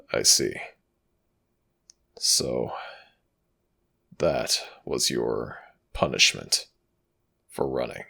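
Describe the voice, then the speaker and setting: A man playing an ancient, protective god in an intimate ASMR audio roleplay, speaking in a halting, intense way with a gravelly, breathy voice. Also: very deep voice, bright, forceful tone, exaggerated voice. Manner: halting, drawn-out, intense delivery.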